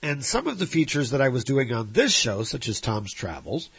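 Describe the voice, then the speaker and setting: A Midwestern American man hosts his own podcast with a congested, nasal voice and a slightly husky tone. He talks in a rambling, apologetic way, full of restarts and fillers. The recording is dry and a little dull, like a lo-fi home setup. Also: mid-range voice, big pitch swings, normal speed, fairly bright tone, no room sound. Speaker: podcast host. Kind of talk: solo podcast monologue.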